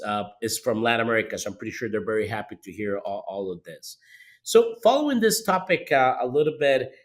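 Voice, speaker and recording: A man from hosting a podcast interview, often repeating words and restarting sentences. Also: medium pitch. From Costa Rica. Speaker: podcast host.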